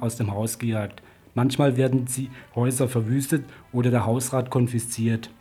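Male radio news reader, scripted political report, mellow tone, slightly husky. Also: mid-range voice.

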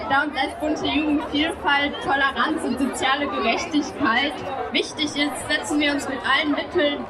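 A young woman, a youth group leader, giving a prepared political speech at an outdoor rally. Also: high-pitched. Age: young adult. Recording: loud crowd noise at outdoor rally.

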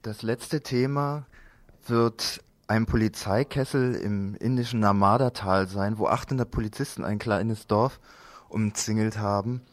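A man hosting a radio news magazine, introducing it in a halting, loosely phrased, unscripted way. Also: fairly low pitch.

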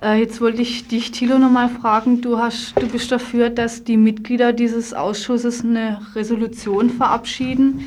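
A woman presents a political interview on the radio. Her delivery stays quite even and flat in melody. She speaks informally, with a probing edge. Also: a little high, moderate pace, few pauses, a little hiss or hum. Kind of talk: live political radio interview, reading out and questioning.

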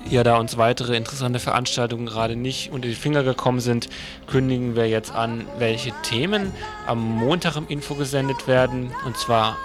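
A male radio presenter reads out listings and previews for listeners. He addresses them informally. Music plays clearly behind his voice throughout. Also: medium pitch, steady tone, a little fast.

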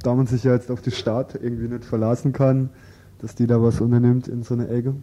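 A man's voice: mid-range voice, little pitch movement, fairly quick, dark tone, highs cut off. A man casually asking questions as a radio interviewer.

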